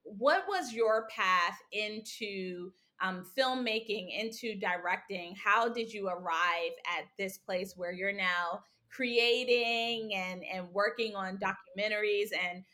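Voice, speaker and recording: A woman's voice: mid-range voice, slow, few pauses, pushed and bright. A woman hosting a podcast, asking long, encouraging questions of her guests. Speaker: podcast host. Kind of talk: podcast interview.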